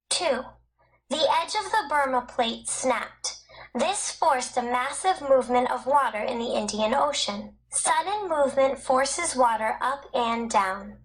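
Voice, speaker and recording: A woman with a high voice narrates educational text. Her delivery is scripted and measured, with every word carefully enunciated. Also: low-quality recording. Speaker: textbook narrator.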